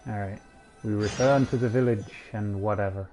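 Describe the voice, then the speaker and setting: A man streaming a game, reading dialogue aloud with casual asides in a low-key, halting way. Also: steady tone, darkish tone, faint music behind. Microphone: home streaming microphone. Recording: dull, lo-fi streamer microphone.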